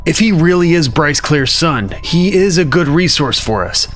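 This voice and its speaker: A man's voice: a little high, lively intonation, normal speed, a bit bright. A calculating young man, speaks in a melodramatic audio drama. Faint music sits behind his voice.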